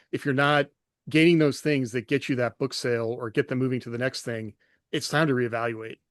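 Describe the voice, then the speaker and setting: A man co-hosting a podcast as an author, reasoning things through in a measured, casual way. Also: mid-range voice, dry recording, clean studio sound. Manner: thoughtful, measured, many "you know" fillers.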